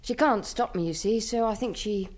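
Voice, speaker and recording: Actress doing a needy posh-money girl, radio play, highish voice, hesitant, keen to please. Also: moderate pace, darkish tone, natural voice. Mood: confiding. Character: eager, needy rich young woman, mother-dominated. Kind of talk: radio drama acting.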